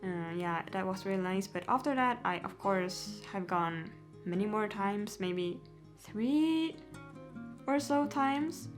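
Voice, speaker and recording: A woman's voice: medium pitch, animated, takes it slowly, soft background music. Chatty young woman vlogging. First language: Swedish. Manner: chatty, giggly, lots of "like" and "yeah". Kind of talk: casual Q&A chat to camera.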